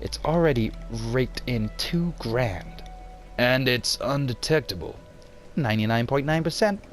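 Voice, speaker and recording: A man voicing a cocky, jittery young male hacker on the run, talking fast and stumbling over his words. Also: mid-range voice, expressive, soft background music, lightly acted. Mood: scheming. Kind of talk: amateur motion comic voice acting.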